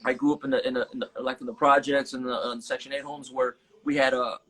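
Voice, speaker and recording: A man's voice: mid-range voice, level tone, fast talker, a bit bright, husky. A man from Las Vegas, a guest rapper, talking casually in a live-stream interview and mixing Spanish words into laid-back street talk. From Las Vegas, USA. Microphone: phone on a live stream.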